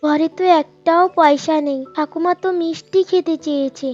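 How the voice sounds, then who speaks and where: A woman's voice: high voice, steady tone, normal speed, darkish tone, close to an everyday voice. A woman voicing a poor, earnest young village boy, a pleading grandson in a cartoon story. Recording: dull lo-fi cartoon audio with music.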